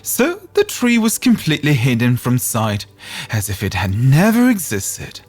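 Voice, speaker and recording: A man narrating a scripted fairytale as an expressive storyteller. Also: mid-range voice, a little slow, faint music behind.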